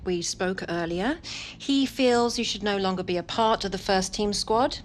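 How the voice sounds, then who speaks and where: A woman acting an unyielding football club executive in a video game cutscene, formal and measured. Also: mid-range voice, slight background noise, natural voice. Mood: firm, formal.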